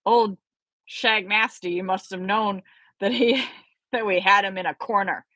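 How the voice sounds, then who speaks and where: A woman voicing a crabby, fussy old male professor with a raspy voice and a snappish, blustery manner. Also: mid-range voice, a bit bright, no echo, poor audio. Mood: thoughtful.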